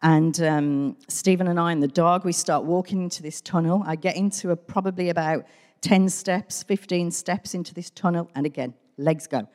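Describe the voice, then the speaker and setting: A middle-aged woman with a hoarse, gruff voice, candidly telling her personal story to a church. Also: on the low side, steady tone, normal speed, darkish tone. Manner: candid, conversational, self-deprecating. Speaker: church member giving testimony. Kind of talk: personal testimony. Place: large church hall. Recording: church hall with long reverb.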